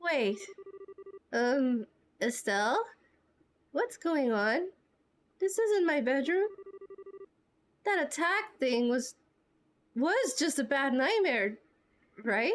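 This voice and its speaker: A woman's voice: high-pitched, big pitch swings, slow pace, long pauses. A woman streamer doing amateur voice acting of dialogue from video game cutscenes. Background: music.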